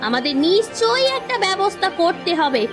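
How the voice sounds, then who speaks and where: A haughty village woman from a cartoon, voiced by a woman. Her pitch is very high and swings widely. She is bossy and moralising, quick to scold, over faint music. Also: sing-song. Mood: angry scolding. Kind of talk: cartoon voice acting.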